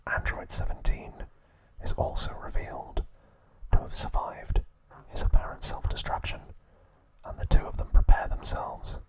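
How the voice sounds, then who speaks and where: Male ASMR host, soft unvoiced whisper, unhurried delivery, dry playful asides. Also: low-quality recording. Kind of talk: whispered ASMR recap narration.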